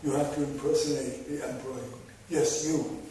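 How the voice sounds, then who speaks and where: A man reading his own poems to an audience, with slow, measured delivery and wry asides between poems. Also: fairly high pitch, little pitch movement, warm tone. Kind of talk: poetry reading with spoken asides. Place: large hall before a live audience. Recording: very echoey hall, voice far from mic, background noise.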